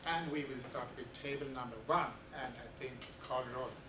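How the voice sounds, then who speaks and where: A man's voice: mid-range voice, moderate pace. A man moderating a seminar, opening a round of introductions in a light, joking manner. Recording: echoey room from far off, loud noise.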